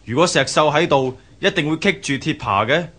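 Radio drama labourer, earnest, Hong Kong Cantonese, slightly high male voice. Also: expressive, moderate pace, a bit bright, slightly rough. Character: earnest hired searcher reporting to a monk.

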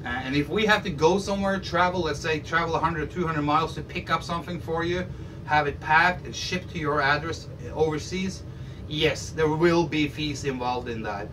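A man's voice: a little high, normal speed. Middle-aged man talking from across a room. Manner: rambling, restarts often, gestures while talking. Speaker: audio shop owner. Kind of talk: explaining a service to camera. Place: indoor room full of stacked audio gear. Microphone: camera across the room. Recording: distant mic with faint room noise.

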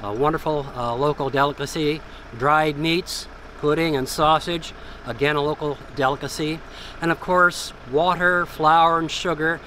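A man in his sixties guiding a walking tour, speaking in a measured way and listing details with emphasis, recorded outdoors by a harbor. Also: fairly high pitch, fairly even intonation, pushed and bright. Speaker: tour guide. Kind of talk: guided walking tour narration. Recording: faint outdoor background noise.

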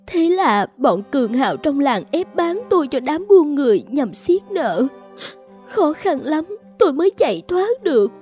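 A lovesick young schemer in a cartoon folk tale. Her voice is very high and bright, swinging widely as she pleads and coaxes in a plaintive, coquettish way. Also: big pitch swings, moderate pace, faint music behind. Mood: tearful, pleading. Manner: plaintive, coquettish, emotionally dramatic. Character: lovesick, scheming young woman feigning helpless distress. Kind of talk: Vietnamese animated folk tale dubbing.

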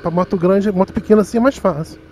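A man's voice: sits high, fast talker, a little mellow. A man with a Rio de Janeiro accent, chatting casually to viewers while riding a motorcycle through city traffic. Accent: Rio de Janeiro Portuguese. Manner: chatty, self-mocking, talks to viewers directly. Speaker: motovlogger. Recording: faint wind and road noise. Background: faint wind and traffic noise.

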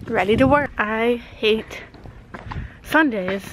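A teenage girl vlogging casually into a phone held at arm's length, chatty with lots of fillers, often speaking softly. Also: on the high side, takes it slowly, slight background noise, rough recording. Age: teens. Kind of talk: casual vlog chat. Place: home, car and outdoors.

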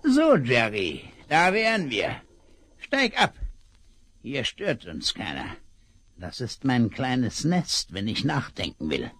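A man's voice: medium pitch, huge pitch swings, slow pace, fairly bright tone, slightly rough, exaggerated voice. An actor playing a crafty male villain in a 1970s radio play, sly and plotting. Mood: scheming.

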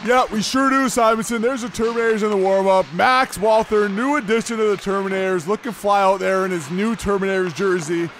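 A man's voice: sits high, steady tone, a little fast, hardly any pauses, a bit bright, slight background noise. A man hosting joking play-by-play commentary of an amateur hockey game, rambling and laughing at himself. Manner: rambling, laughs at himself, keeps saying the co-host's name. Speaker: play-by-play host.

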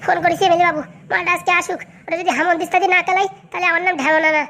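A woman's voice: very high voice, level tone, racing, pushed and bright, slight background noise, exaggerated voice. A woman voicing a hot-headed, accusing male henchman in a comic cartoon. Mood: vengeful. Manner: aggressive, blaming.

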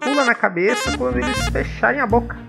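A man's voice: extremely high pitch, fast, creaky, loud music behind the voice. A man voicing a confident, scheming frog brother in a cartoon comedy, chatting casually and with animation.

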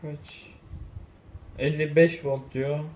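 A man's voice: a little high, level tone, slow pace, a little mellow. A man giving a casual unboxing review, thinking aloud in an informal way. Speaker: product reviewer. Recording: roomy echo, faint background noise.

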